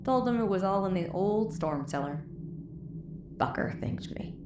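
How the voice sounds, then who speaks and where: A woman's voice: mid-range voice, animated, measured pace, close to an everyday voice. A woman playing a tough, bitter, foul-mouthed wasteland moonshiner, wounded and weary, telling her story with a Southern US accent in an audio drama. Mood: bitter, wry. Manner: folksy, profane, weary storytelling. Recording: roomy echo, clear background noise.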